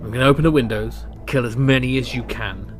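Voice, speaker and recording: An actor playing a gruff, bullying leader of hostile survivor guards in an audio drama, barking and aggressive. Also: male voice, medium pitch, steady tone, fast, natural voice. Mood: urgent. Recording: voice under loud dramatic music.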